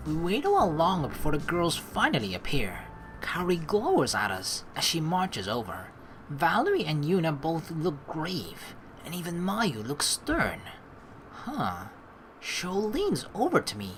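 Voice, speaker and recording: A woman's voice: deep voice, wildly swooping pitch, unhurried, poor audio. A young woman hosting a let's play, teasing and slangy as she mixes casual commentary with reading the game's narration aloud. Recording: faint game music behind the voice.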